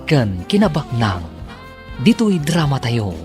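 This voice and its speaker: A man's voice: medium pitch, big pitch swings, slow pace, music in the background. A man with a Filipino accent reading scripted introductions and credits as a radio drama announcer.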